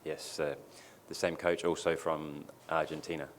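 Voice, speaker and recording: A man's voice: a bass voice, level tone, moderate pace. A male club official moderating a press conference and answering a question in a loose way. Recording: distant reverberant room with faint noise.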